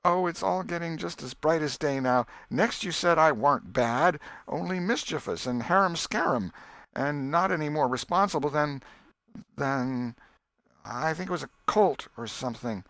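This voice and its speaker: A man's voice: a little high, moderate pace, fairly bright tone, no echo, voice pushed up. A man voicing a mischievous, earnest young boy with a rural Southern American accent, telling his tale in an audiobook. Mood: earnest, halting. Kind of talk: audiobook character voice.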